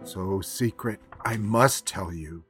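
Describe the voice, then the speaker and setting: Gentle storybook mother, done by a man, unhurried. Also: low-pitched, faint music behind, voice pushed down. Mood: gently secretive. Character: gentle, comforting mother of a small boy. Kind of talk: storybook read-aloud.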